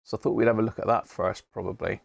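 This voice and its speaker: A man with an English accent and a gravelly voice, hosting a hobby electronics live stream in a chatty, rambling way, thinking aloud as he works. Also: lowish voice, fairly even intonation, fast talker, no echo, studio quality. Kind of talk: casual live-stream chat while working at a bench.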